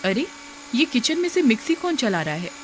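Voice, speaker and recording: Woman voicing an anxious, animated young cartoon wife. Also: on the high side, moderate pace, slight background noise, voice pushed up. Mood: uneasy. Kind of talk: cartoon horror story acting.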